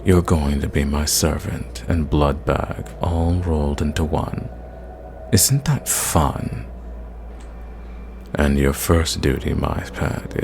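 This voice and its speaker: A man voicing a centuries-old sadistic vampire in an ASMR roleplay, possessive and condescending, savoring his words and sometimes dropping to a breathy whisper. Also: full character voice. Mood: possessive menace. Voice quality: deep, gravelly.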